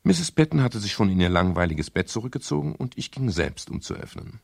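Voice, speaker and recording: A man playing a modest, wry private detective in a radio crime play, dry and measured, with a gravelly, husky voice. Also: fairly low pitch, sing-song, heavily acted. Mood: dry narration. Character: modest, wry male private detective, narrating his case. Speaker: actor in a radio crime drama. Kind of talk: 1970s German radio play, narration and dialogue.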